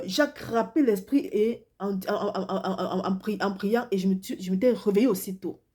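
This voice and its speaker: A woman's voice: on the high side, warm tone, slightly rough. A woman preacher giving a devotional sermon and testimony while congested with a cold, earnest and repetitive, often restarting her phrases. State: has a cold and flu, congested. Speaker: preacher, self-described prophetess.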